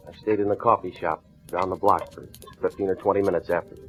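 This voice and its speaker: An American actor playing a cornered, stubborn murder suspect who protests in slang in an old-time radio crime drama. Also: male voice, deep voice, level tone, fast talker, a bit bright, soft background music.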